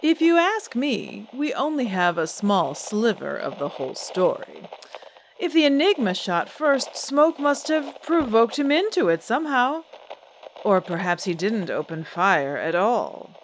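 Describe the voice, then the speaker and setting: An actress playing a proud, guarded singer and stage star, theatrical and poised in an old-time radio drama style. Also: female voice, fairly high pitch, big pitch swings, unhurried, a little hiss or hum, low-quality recording. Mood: musing, knowing. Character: proud, guarded female singer-actress, a glamorous celebrity diva. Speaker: celebrity interview guest.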